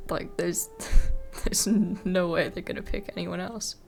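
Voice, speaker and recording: A woman's voice: fairly low pitch, fairly even intonation, slow, creaky, darkish tone, faint music behind. A woman giving casual playthrough commentary on a video game, with wry little asides. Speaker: gaming video creator.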